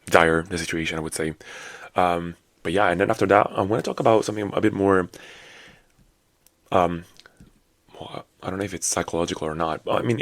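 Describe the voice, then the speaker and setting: Very deep, raspy young man. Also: no echo. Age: 20s. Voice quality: low and raspy. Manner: rambling, chatty, sassy asides. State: hoarse after a night out. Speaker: podcast host. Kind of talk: casual solo podcast chat.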